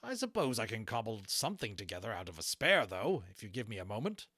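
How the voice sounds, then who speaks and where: A man plays a storybook ground crewman. He is humble and eager to help, a little hesitant, with lively pitch and a dry sound. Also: quick pace, husky, no room sound, heavily acted. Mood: willing, unsure. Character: willing, humble male ground crewman, respectful.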